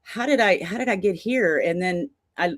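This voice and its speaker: Woman in her sixties, podcast guest, earnest rambling storytelling, mid pitch, dry sound. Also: moderate pace, dry recording. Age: 60s. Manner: earnest, talks in long run-on stories with laughter. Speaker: podcast guest, charity founder. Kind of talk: casual interview storytelling.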